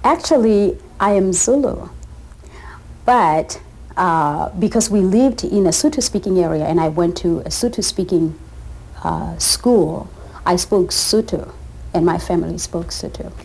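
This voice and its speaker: A man's voice: high voice, a little slow, vocal fry, poor audio. A man with a South African accent, answering interview questions in a thoughtful, articulate way. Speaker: interviewee, former scholar.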